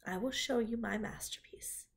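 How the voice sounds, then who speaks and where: A woman doing a gentle whispering spider. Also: very animated, measured pace. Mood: tender hushed. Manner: soft, low, often whispered. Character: gentle wise female spider, tired and calm.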